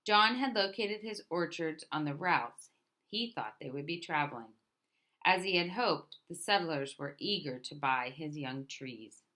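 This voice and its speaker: A woman reading a picture book aloud as a storyteller, in a deliberate manner with emphatic openings to her phrases. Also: low-pitched, animated, bright, forceful tone. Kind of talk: scripted picture book narration.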